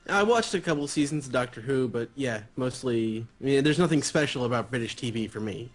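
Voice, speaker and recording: A man with a husky voice in casual conversation as co-host of a gaming show. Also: mid-range voice, lively intonation, brisk.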